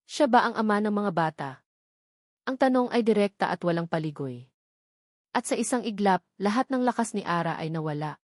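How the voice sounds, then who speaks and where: A woman's voice: mid-range voice, a little mellow, no echo. Woman narrating a dramatic story, dry sound.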